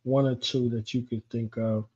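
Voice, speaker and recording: A man's voice: medium pitch, level tone, moderate pace, a little mellow. A man hosting a radio show, asking questions in a casual, conversational way. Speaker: radio show host, interviewer. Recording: dull, lo-fi sound.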